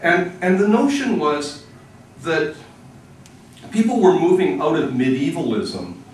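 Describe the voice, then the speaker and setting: A man with a booming voice speaks to a church congregation as a board member. He talks haltingly and often repeats his words, with a lively rise and fall. He sounds distant in a strongly echoing hall. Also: slight background noise. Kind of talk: casual reflection to a congregation. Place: church service. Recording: echoey hall from far off.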